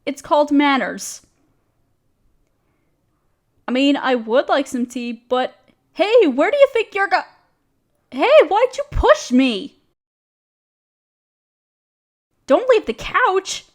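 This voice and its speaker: A woman's voice: high-pitched, very animated, slow pace, pauses often, exaggerated voice. A woman playing an overworked, apologetic young woman confiding hesitantly in a close friend in an intimate audio roleplay. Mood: flustered, protesting. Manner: self-deprecating, hesitant, casual. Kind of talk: roleplay audio drama, intimate one-sided conversation.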